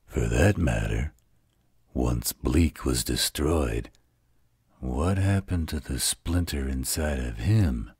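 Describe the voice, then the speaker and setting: A man playing a gruff, protective werewolf mate and father figure in a roleplay audio drama, blunt and teasing, with a husky voice. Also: animated, slow, a little mellow, no room sound, studio quality, heavily acted. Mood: serious, questioning. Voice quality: very deep, husky, gravelly.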